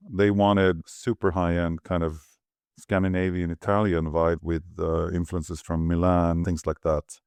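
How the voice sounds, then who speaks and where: A man's voice: sits low, smooth, no echo. A middle-aged man from Sweden, a guest architect rambling and thinking aloud as he talks. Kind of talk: relaxed conversational interview.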